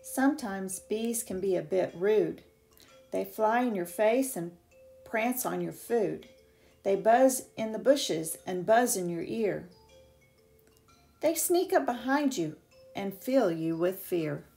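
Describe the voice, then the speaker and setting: A woman reading a children's picture book aloud with expressive, deliberate phrasing for young listeners. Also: medium pitch, vocal fry, soft background music. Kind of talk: read-aloud children's storytelling.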